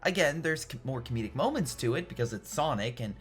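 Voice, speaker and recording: A man's voice: mid-range voice, expressive, fast, a bit bright. A man giving a casual, opinionated film review in an informal, emphatic, rambling way. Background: background music.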